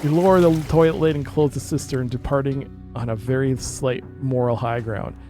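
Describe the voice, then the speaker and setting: A man's voice: mid-range voice, steady tone, moderate pace, dark tone, faint music behind. A man streaming a game, reading its narration aloud and adding casual commentary.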